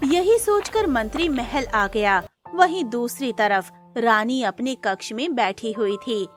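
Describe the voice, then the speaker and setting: A woman narrates a scripted cartoon tale at a slow, measured pace. Her voice is fairly high, with music playing underneath. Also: animated. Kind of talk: scripted children's-style story narration.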